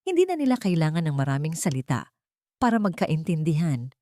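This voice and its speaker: A woman narrating a scripted dramatic story in a measured, sentimental way, in short phrases. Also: low voice, sing-song, warm tone.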